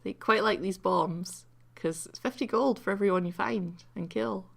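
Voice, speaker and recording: A woman giving casual, rambling commentary over a video game replay, croaky from having just woken up and mocking her own play between many ums. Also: medium pitch, normal speed.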